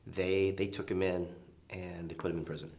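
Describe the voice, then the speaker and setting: A man in his forties speaks as an interview guest with a low-pitched voice. He tells his personal story casually, in a reflective, informal way. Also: level tone, normal speed, darkish tone. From southern United States, born in Vietnam.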